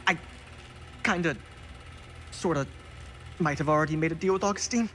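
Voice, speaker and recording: A woman's voice: deep voice, moderate pace, fairly bright tone, a little hiss or hum. An actress playing a shifty, evasive woman in a video game cutscene, speaking in a hesitant, sheepish stammer. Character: shifty, evasive woman caught in a secret deal.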